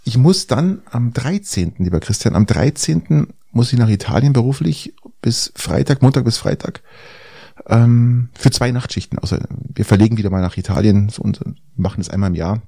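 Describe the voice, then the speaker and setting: Middle-aged podcast co-host, stuffed up with a cold, gravelly and dry. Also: male voice, mid-range voice, moderate pace, mellow, no room sound. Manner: rambling, hesitant with many fillers. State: congested with a cold. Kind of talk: casual chat.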